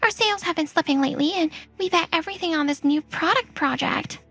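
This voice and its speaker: An actress playing an anxious, deferential young office subordinate in a manga dub. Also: female voice, extremely high pitch, fast, vocal fry, fairly bright tone, full character voice. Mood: worried, pleading.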